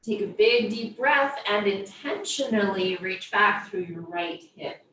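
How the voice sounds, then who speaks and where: A woman's voice: mid-range voice, expressive, a little slow. Echoey, distant woman yoga instructor. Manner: gentle step-by-step cueing, invitational. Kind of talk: guided yoga and body scan instruction. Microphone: distant microphone. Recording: very echoey room, distant mic, faint noise.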